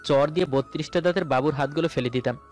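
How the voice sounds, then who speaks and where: A man's voice: on the high side, quick pace, darkish tone. A man narrating a romantic story dramatically in the first person, as its male lead. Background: background music.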